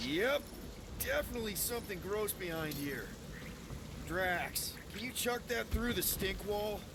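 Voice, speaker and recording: A man's voice: high-pitched, animated, measured pace, background noise. An actor playing a brash, flippant young leader of space outlaws in a video game, wisecracking as he goes.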